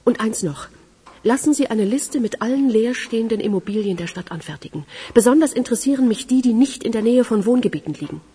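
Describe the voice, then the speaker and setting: A woman playing a composed, probing police investigator in a German radio crime drama, questioning calmly and methodically. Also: fairly high pitch, a little fast, slightly rough. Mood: pensive, firm.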